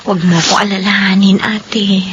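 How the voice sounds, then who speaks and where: An actress playing a compassionate, earnest Filipino sister in a radio drama, speaking in a gentle, measured way. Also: female voice, mid-range voice, flat delivery, dull audio, a little hiss or hum, lightly acted. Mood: consoling.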